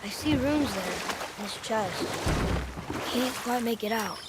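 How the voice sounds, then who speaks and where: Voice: medium pitch, lively intonation, unhurried, very noisy, natural voice. A performer playing an eager, curious, talkative young boy in a video game, speaking in an earnest, chatty way. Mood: puzzled. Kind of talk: cinematic video game acting.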